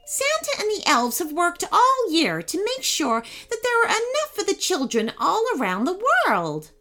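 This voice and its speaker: A woman's voice: very high voice, wildly swooping pitch, moderate pace, pushed and bright, faint music behind, pitched above the performer's own voice, exaggerated voice. A woman voicing an earnest, eager young boy hero in a children's story, speaking with emphatic swoops. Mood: pleading.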